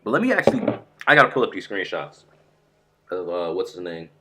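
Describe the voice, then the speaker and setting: A man streaming live about a fighting game, rambling and excitable, sounding stuffy from a sore throat. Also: deep voice, fairly quick, a little hiss or hum. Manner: excitable, rambling, says "bro" a lot. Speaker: gaming streamer.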